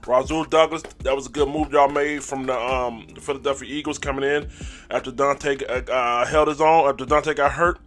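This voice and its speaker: Hoarse male fan-show host, casual, hyped, clears throat often, mid-pitch. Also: fairly bright tone. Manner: hype call-and-response, throat clearing. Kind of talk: casual sports fan recap.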